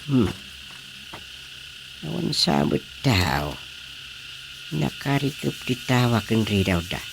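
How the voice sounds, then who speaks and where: A man with an Ilocano Filipino accent playing a world-weary, principled village chairman in a radio drama comedy, grumbling in a drawn-out way. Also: mid-range voice, big pitch swings, vocal fry, noisy background, full character voice. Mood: muttering, grumbling.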